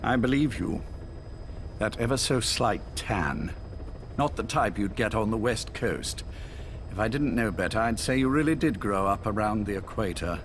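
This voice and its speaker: An actor playing an older male spymaster who speaks in a calm, courteous, probing way. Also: on the low side, moderate pace, background noise, close to an everyday voice. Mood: measured.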